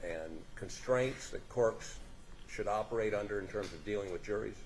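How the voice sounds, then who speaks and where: A man questioning a witness in a courtroom, halting and restarting his questions in a folksy way. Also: lowish voice, a little mellow, slight background noise, low-quality recording. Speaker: defense attorney questioning a witness. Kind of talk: courtroom examination. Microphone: courtroom microphone.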